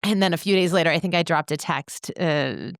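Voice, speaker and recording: A woman co-hosting a podcast, telling stories in a casual, reflective way with thoughtful, measured phrasing. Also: fairly low pitch, no echo, clean studio sound. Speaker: podcast co-host. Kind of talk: casual reflective storytelling in conversation.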